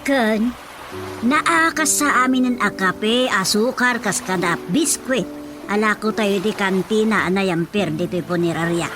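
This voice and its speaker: A woman's voice: medium pitch, measured pace, natural voice. An actress playing an older, practical mother in an Ilocano radio drama, emphatic and given to complaining. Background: background music.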